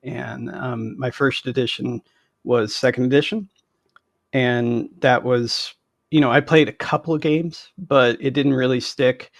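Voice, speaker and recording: Man, middle-aged, vocal fry, mid pitch, casual guest chat. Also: dry recording, clean studio sound. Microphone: headset on a video call.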